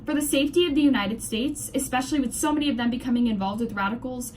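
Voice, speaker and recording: A woman's voice: high voice, fast talker, a little mellow, a little hiss or hum. A young woman reading a scripted news commentary aloud with scornful opinions. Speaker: presenter of a student-made 1920s-style news report.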